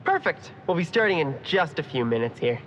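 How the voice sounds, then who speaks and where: A woman's voice: low-pitched, very animated, a little fast. A woman playing an efficient, polite tour guide in an audio drama, with a presentational manner. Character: chirpy, efficient female printing-plant tour guide. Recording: dull sound, faint noise.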